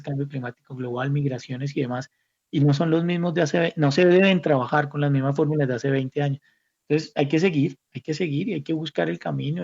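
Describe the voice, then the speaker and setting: A man's voice: a little high, darkish tone. A Colombian man speaking as an academic guest in an interview, thinking aloud reflectively and often repeating words as he searches for the next one. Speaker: academic guest, institute director. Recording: slightly dull, muffled audio.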